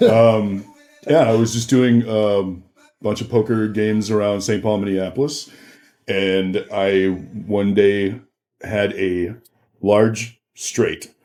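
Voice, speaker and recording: A man's voice: on the low side, steady tone, takes it slowly, dark tone. A middle-aged man with an American accent telling anecdotes casually as a podcast guest, acting out bits of dialogue.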